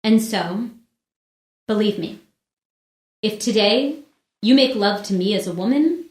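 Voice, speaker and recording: A woman's voice: mid-range voice, expressive, unhurried, close to an everyday voice. An actress playing a proud, wronged noblewoman in a classical stage drama, speaking in formal, declamatory speeches. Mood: grave warning. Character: wronged noblewoman in armor, proud, pleading, vengeful. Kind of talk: classical stage verse drama, unrehearsed read. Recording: roomy echo around the voice.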